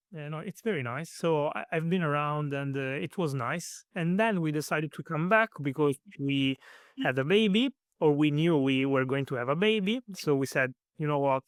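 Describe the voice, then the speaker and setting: A man's voice: a little high, clean tone, no room sound. A man in his thirties from Italy with an Italian accent and Italian as his first language, answering interview questions casually with many fillers and restarts. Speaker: guest.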